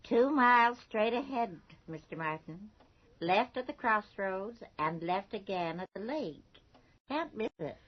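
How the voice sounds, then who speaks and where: An actress playing a shrewd, bossy small-town storekeeper with a folksy, insistent way of talking in a radio drama. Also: female voice, mid-range voice, animated, measured pace. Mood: slyly polite. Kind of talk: 1980s radio drama acting. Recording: muffled old radio broadcast.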